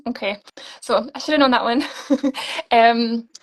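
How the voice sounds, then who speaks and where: A woman's voice: fairly high pitch, measured pace. A young woman from Scotland with a Scottish accent, answering questions earnestly and upbeat in a live online interview, laughing often. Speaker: pageant contestant.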